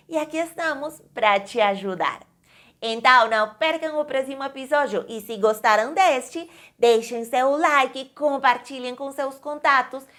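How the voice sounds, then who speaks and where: A woman from Colombia, teaching an online language lesson slowly, with clear, careful enunciation. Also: high voice, a bit bright. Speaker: Portuguese teacher.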